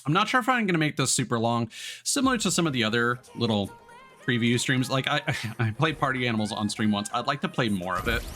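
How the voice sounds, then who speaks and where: A man gives relaxed live commentary as he plays a video game. His voice sits at an ordinary male pitch, and he explains things informally with the odd mild swear. Game music plays clearly behind him. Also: fast. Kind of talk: casual live gaming commentary.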